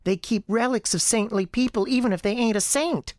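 Woman playing a high-voiced, chatty little girl. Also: a little fast, a little mellow, dry recording, clean studio sound, natural voice. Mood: insistent. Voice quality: high-pitched girlish voice. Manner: childlike, folksy, run-on storytelling. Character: young Southern girl Sarah, earnest, defiant, chatty. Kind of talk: acted audio drama dialogue.

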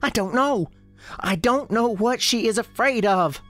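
A woman's voice: on the high side, animated, unhurried, fairly bright tone, soft background music. A woman playing a frightened ghost girl, an imaginary friend, in a radio drama, pleading with breathless urgency. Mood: anguished.

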